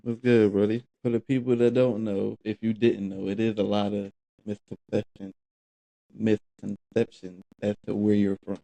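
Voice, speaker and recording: A man hosts a podcast in a laid-back, casual way, his low voice gravelly and very dark. He keeps a flat, even tone while he asks short questions. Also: normal speed, low-quality recording. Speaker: podcast host. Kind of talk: casual interview hosting.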